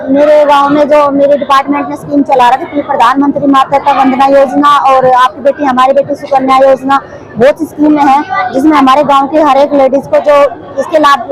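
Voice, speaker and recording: A woman from Haryana who works as a village childcare worker, giving a halting spoken testimonial with restarts. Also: high voice, noisy background. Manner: halting, with restarts, listing government schemes.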